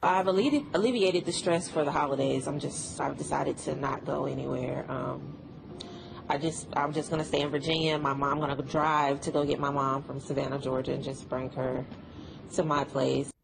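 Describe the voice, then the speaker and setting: A woman's voice: low voice, steady tone, normal speed, gravelly. A woman answering casually in an interview recorded on location with noisy ambience. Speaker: traveler interviewed.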